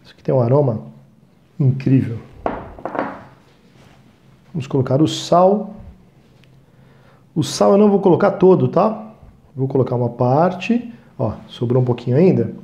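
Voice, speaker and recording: A man's voice: mid-range voice, expressive, a little slow, pauses often, mellow, echoey room, a little hiss or hum. Brazilian man chatting through a recipe. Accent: Brazilian Portuguese. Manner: chatty, talks to viewers as "gente", frequent "tá?" checks. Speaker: cooking show host. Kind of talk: cooking demonstration. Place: small studio kitchen set.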